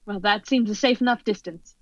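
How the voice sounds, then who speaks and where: A woman playing a poised radio news commentator in an old-time radio drama, speaking in a formal, measured announcer style. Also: medium pitch, no echo, natural voice. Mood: formal, composed. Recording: dull lo-fi audio.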